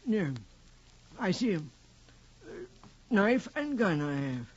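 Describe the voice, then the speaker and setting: A man's voice: high-pitched, big pitch swings, slightly rough, full character voice. A man in a 1950s radio drama playing a timid, muddled little man, childlike, stammering and repeating himself. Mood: anxious, confused. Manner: halting, stammering, repetitive, childlike. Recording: muffled 1950s radio recording.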